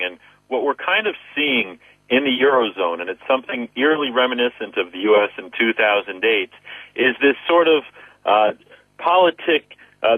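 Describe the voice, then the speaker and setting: A man's voice: medium pitch, dry recording. A man giving market commentary as a guest analyst over the telephone. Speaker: wealth manager, guest analyst. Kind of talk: market commentary in a phone interview. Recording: narrow tinny phone line.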